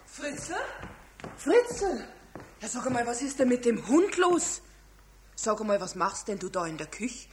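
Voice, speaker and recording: A woman playing a strict, exasperated Bavarian mother in a children's radio play, scolding emphatically in a husky voice. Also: fairly high pitch, big pitch swings, measured pace, long pauses, darkish tone, slight background noise.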